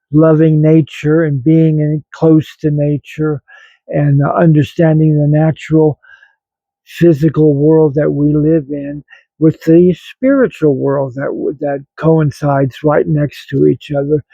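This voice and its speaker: Gravelly older American man, unhurried. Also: on the high side, warm tone, no echo, dull audio. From grew up in West Virginia, United States. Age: 70s. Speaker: vlog host. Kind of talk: rambling personal talk to online viewers.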